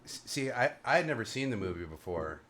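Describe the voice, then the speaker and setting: A man's voice: on the low side, very animated, fairly quick, a bit bright. A man hosting a casual panel chat over a video call, joking and rambling. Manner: jokey, rambling, self-mocking. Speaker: host of a panel show.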